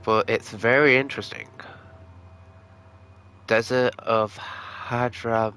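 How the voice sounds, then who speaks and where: A man's voice: mid-range voice, fairly even intonation, slow, sharp tone, a little hiss or hum. A man giving casual livestream commentary on a video game and reading bits of it aloud, with game music behind him. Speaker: game streamer.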